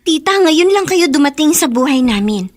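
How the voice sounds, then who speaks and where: A high-voiced, resentful young daughter. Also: female voice, animated, normal speed, slight background noise. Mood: cold. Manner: sharp, curt and resentful. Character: bitter grown daughter, angry and unforgiving. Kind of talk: radio drama acting.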